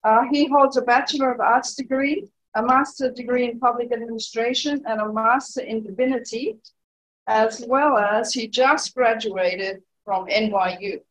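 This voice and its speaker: A woman reading a speaker's introduction from a sheet in a church, measured and plain. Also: on the high side, fairly even intonation, poor audio. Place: church sanctuary.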